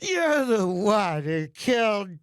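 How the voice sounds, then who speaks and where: A man's voice: high-pitched, sing-song, takes it slowly, noisy background, full character voice. A man voicing a melodramatic, grief-stricken, accusing prosecutor in a video game, theatrical and overwrought. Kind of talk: video game dub played live.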